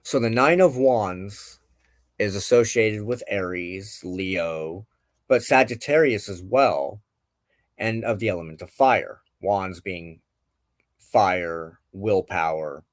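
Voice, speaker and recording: A man hosting a spiritual tarot reading, speaking reflectively in deliberate phrases with frequent pauses. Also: on the low side, no echo. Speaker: host of a spiritual tarot show. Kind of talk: tarot card reading, reflective spoken commentary.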